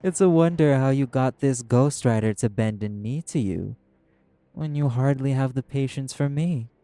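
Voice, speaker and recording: A man's voice: medium pitch, normal speed, mellow, no room sound, lightly acted. A man playing a proud, condescending arch-demon casino owner in an audio roleplay, drawling and teasing with pet names. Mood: sly, needling. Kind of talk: dramatic audio roleplay acting. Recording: music under the voice. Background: music.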